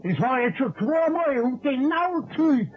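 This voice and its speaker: An actor playing a grumpy, indignant old caretaker who grumbles and scolds with a Bavarian accent in a radio play. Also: male voice, very high-pitched, sing-song, measured pace, exaggerated voice. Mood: indignant scolding. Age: older. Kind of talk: 1960s German radio play acting. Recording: muffled, noisy old radio-play recording.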